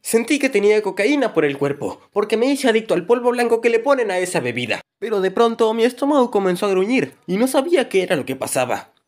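High-voiced, animated male comic storyteller. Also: fast, hardly any pauses. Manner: expressive, exaggerated comic delivery. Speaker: narrator of a fan-dubbed animated story video. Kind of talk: animated comedic storytelling narration.

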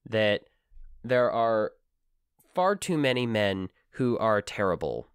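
A man co-hosting a casual book-club podcast, playful and animated, his voice worn out. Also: fairly low pitch, takes it slowly, smooth, no room sound, studio quality. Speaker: podcast co-host.

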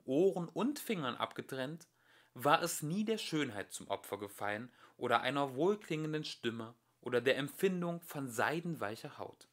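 A young man narrates a storybook tale in the manner of a homemade audiobook. His voice sits at an average pitch with a bright, forward edge. He moves animatedly up and down as he stresses the phrases. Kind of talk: scripted fairy tale narration, read aloud.

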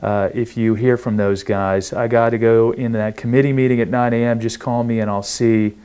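A man's voice: mid-range voice, level tone, normal speed, warm tone, smooth, lightly acted. A middle-aged man playing a blunt, profane state politician chatting casually in a dramatized phone call. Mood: tired, businesslike. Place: small kitchen, indoors.

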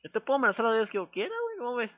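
Through very muffled phone audio comes a man with a northern Mexican accent and a notably high voice. He talks fast, in thick street slang. His manner is pushy and threatening, and he circles back on his phrases as a prank caller. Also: sing-song. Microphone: telephone call.

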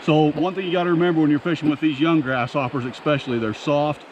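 A man's voice: a little high, steady tone, fast, a little mellow, muffled recording, a little hiss or hum. A middle-aged American man chatting casually and folksily to camera, as an outdoor fishing host. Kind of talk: casual explaining and chat to camera. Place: outdoors by a creek and meadow.

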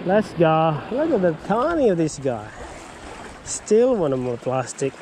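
A man giving casual running commentary while fishing on a rocky breakwall, recorded on a head-mounted action camera, breaking into excited whoops when a fish bites. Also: fairly high pitch. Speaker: fishing vlogger. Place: outdoors on a rocky breakwall by the sea. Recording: outdoor wind and water noise.

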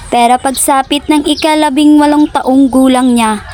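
A woman's voice: sits high, steady tone, normal speed, darkish tone, natural voice. A woman voicing a commanding female fairy spirit in a cartoon story who speaks in a solemn, declaring way.

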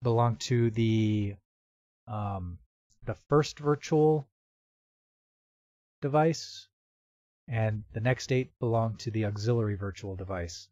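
A man presenting a casual software tutorial in a halting, step-by-step way, as if thinking aloud. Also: on the low side, no echo, highs cut off. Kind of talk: casual screen-recorded tutorial narration. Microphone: computer microphone with real-time noise removal.

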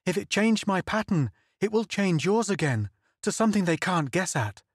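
A man's voice: on the high side, animated, a little slow, dry recording, studio quality. A man voicing a frightened, devoted young woman who pleads through tears in an audiobook. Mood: desperate. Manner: pleading, tearful. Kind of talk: audiobook character voice.

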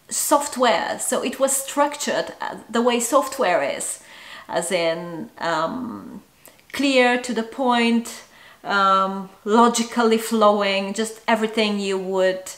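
A young woman speaks slowly and deliberately to the camera, pausing on ums as she thinks. Her voice sits mid-range and carries a noticeable roomy echo from the small home room she records in. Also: medium pitch, fairly bright tone. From Bulgaria. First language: Bulgarian. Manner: measured, thoughtful, with frequent ums. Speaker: translator vlogger. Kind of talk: talking to camera about her work. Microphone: camera in front, upper-body framing.

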